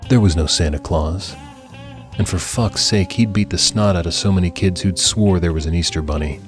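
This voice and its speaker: A man's voice: low-pitched, moderate pace, over music. A man reading scripted dramatic fiction as an audiobook narrator.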